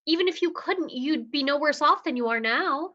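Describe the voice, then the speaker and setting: A woman playing dry, deadpan Dottie. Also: high voice, normal speed, lo-fi sound. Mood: hopeful. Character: Dottie, a dry, weary young woman patient. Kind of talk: livestream play script reading.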